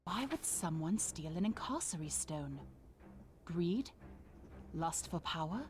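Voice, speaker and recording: A woman's voice: lowish voice, lively intonation, slow pace, noisy background. A woman voicing an earnest, determined young monster hunter apprentice in a dramatic video game, with a serious delivery. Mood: solemn, reflective. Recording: music under the voice.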